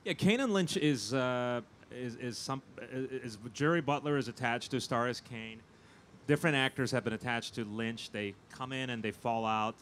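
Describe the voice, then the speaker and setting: A man speaking as a guest in an informal panel interview, halting and often repeating his words as he thinks aloud. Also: medium pitch, fairly bright tone. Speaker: film producer and panel guest. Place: fan convention event. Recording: noisy live event with background ambience.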